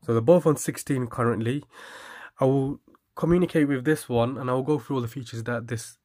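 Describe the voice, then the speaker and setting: A man casually demonstrating and reviewing a product, speaking haltingly as he lists features and repeats himself. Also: mid-range voice, darkish tone, no room sound, clean studio sound.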